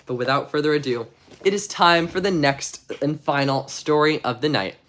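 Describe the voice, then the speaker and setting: A man's voice: on the high side, normal speed, fairly bright tone. A man hosting a livestream of bedtime stories, chatting to viewers and reading aloud in a mock-innocent, tongue-in-cheek manner. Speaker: streamer host reading stories. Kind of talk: livestream chat and storybook read-aloud.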